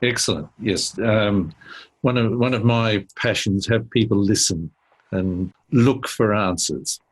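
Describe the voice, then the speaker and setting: A man's voice: lowish voice, slow pace, slightly rough, poor audio. A man hosting a podcast interview, friendly and affirming toward his guest. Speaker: podcast host.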